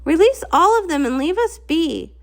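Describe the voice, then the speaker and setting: A woman's voice: extremely high pitch, animated, normal speed, pitched above the performer's own voice. A woman voicing a young winged pony heroine in a storybook, earnest, brave and emphatic. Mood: defiant.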